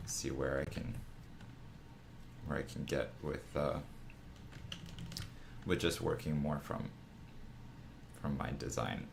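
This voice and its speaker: A man with a raspy, groggy morning voice, casually rambling through a drawing lesson on a live stream, with many restarts. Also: extremely low pitch, noisy background. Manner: rambling, full of restarts and fillers. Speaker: art streamer teaching figure design.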